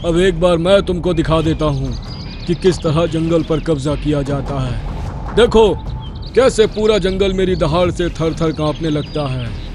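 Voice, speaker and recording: A man's voice: fairly high pitch, a little slow, noisy background, close to an everyday voice. A man voicing an arrogant male lion who wants to rule the jungle, boastful and domineering. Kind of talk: cartoon dubbing.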